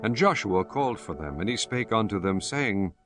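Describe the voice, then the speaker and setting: A man narrating a scripture audiobook in a solemn, measured and formal way. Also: lowish voice, animated, over music.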